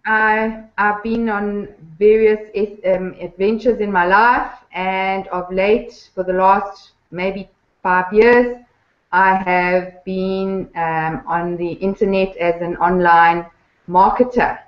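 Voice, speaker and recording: A woman speaks at a middling pitch, warm and eager, taking her time with thoughtful pauses. Her voice comes through a remote call that sounds roomy and dull, like a lo-fi line. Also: fairly even intonation. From Zimbabwe. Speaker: guest. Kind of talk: casual interview chat.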